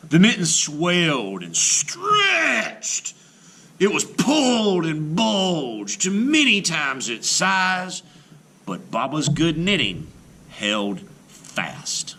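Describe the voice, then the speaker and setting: A man reading a children's picture book aloud as a storyteller, with theatrical, drawn-out emphasis on words. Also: a little high, wildly swooping pitch, pushed and bright, husky. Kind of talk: expressive children's read-aloud narration.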